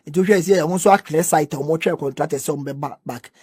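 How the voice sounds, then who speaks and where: Male, Ghanaian accent, rapid and emphatic political talker, dry close sound. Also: fairly high pitch, a little mellow, husky, dry recording. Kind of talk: animated political discussion about roads.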